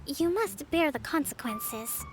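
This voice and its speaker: An actress playing a composed, principled young priestess leader in a video game, formal, measured and firm. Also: female voice, sits high, lively intonation, music in the background. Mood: stern.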